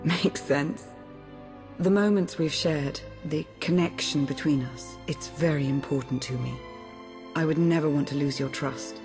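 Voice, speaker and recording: A lowish female voice over clear background music. a composed and devoted dark-empire agent in a video game, earnest, measured and restrained. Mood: tender, sincere.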